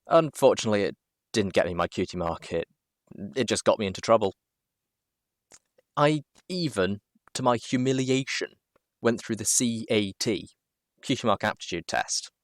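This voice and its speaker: A man reading a first-person story aloud in an expressive, theatrical and wry manner, with dramatic pauses. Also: mid-range voice, no echo, clean studio sound. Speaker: amateur story reader. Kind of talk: expressive first-person fan fiction narration.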